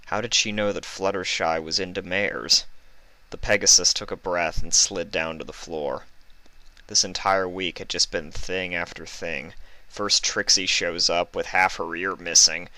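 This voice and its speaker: A man narrates a written story in a low-pitched, bright voice. The recording is close and dry. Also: fairly even intonation, a little slow, no room sound, low-quality recording. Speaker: fan fiction reader.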